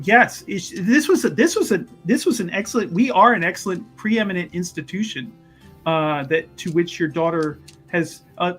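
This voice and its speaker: A man's voice: on the high side, fairly bright tone. Man playing a stammering, fawning academic schemer. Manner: stammering, wordy, fawning formality. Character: evasive, obsequious male university cult leader, stalling and limping.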